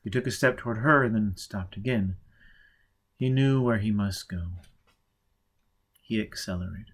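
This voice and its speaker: Man narrating an audiobook dramatically. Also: lowish voice. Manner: measured, dramatic pacing with rhythmic pauses. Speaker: audiobook reader.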